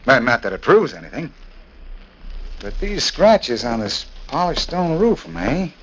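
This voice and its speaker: Skeptical police commissioner, very varied intonation, middle pitch, old-time radio acting, faint hiss. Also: male voice, big pitch swings, measured pace, low-quality recording. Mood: curious. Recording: faintly hissy 1940s radio recording.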